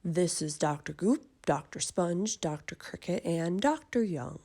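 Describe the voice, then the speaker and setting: Woman voicing a fussy, pompous storybook doctor, slightly lowered. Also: animated, a little slow, mellow. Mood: musing. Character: fussy, bumbling male family doctor in a storybook.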